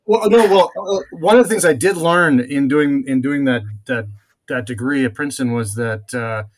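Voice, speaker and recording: A middle-aged man from France speaks as an interview guest, recounting his working life. He talks in a measured, unhurried way and leaves frequent filler pauses. His pitch sits in an ordinary male middle range. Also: poor audio. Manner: measured, many filler pauses, occasional chuckle. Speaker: guest, venture capital investor. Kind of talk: conversational interview answers.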